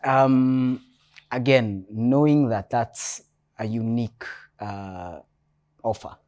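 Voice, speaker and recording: A Kenyan man from Nairobi speaking as a guest on a panel, measured and reflective, often restarting his sentences. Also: mid-range voice, fairly even intonation, darkish tone. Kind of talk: panel discussion. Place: hotel event space.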